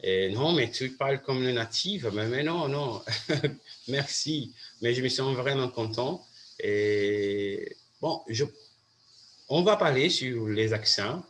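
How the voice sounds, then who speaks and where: Brazilian man, Portuguese native, hosting a halting learner's chat at a slow pace. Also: mid-range voice, animated, a bit bright, a little hiss or hum. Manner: halting, many restarts and fillers. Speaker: host. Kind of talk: casual chat in a learned language.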